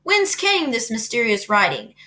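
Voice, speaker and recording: A woman's voice: wildly swooping pitch, moderate pace, pushed and bright, exaggerated voice. A woman doing a pompous fair announcer. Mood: grandiose. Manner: grand, booming announcer delivery.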